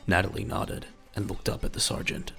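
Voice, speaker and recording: Gravelly deep guy narrating an audio drama, measured and dramatic, bright edge, faint music under it.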